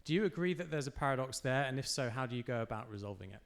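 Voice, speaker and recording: A man's voice: medium pitch, animated, racing, creaky. Young guy, student, asking his prepared question at a public forum.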